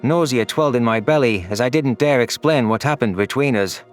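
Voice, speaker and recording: A man's voice: medium pitch, fairly quick, soft background music. A man narrating a scripted science fiction story in the first person as an anguished, guilt-ridden alien. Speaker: narrator of a sci-fi audio story.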